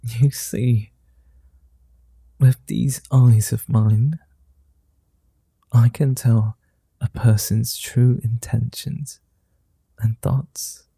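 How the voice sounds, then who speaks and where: A man playing a suave, flirty vampire in an ASMR roleplay, speaking softly in courtly, formal phrases with a gentle, teasing manner. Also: medium pitch, fairly even intonation, dry recording, full character voice. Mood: smooth, seductive. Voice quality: soft, dark, breathy. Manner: courtly formal wording, unhurried pauses, teasing.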